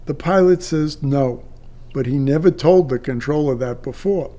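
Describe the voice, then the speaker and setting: An older man, a retired air traffic controller, telling a personal story off the cuff in a halting way with drawn-out pauses. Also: on the high side, dark tone.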